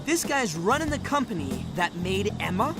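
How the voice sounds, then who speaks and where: A man's voice: sits high, very animated, normal speed, over music. A man playing a brash, hot-blooded teenage boy in a video game dub, blunt and slangy. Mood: fired up.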